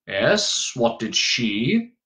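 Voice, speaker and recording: A man's voice: medium pitch, sing-song, drawn-out words, a bit bright, full character voice. A man voicing an earnest, troubled teenage boy of noble birth, questioning and a little hesitant.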